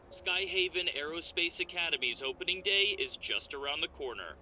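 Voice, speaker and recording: A man's voice: fairly high pitch, bright, forceful tone, slight background noise, low-quality recording. An actor playing a male news reporter who reads a bulletin in a formal, announcing manner. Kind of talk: scripted news broadcast.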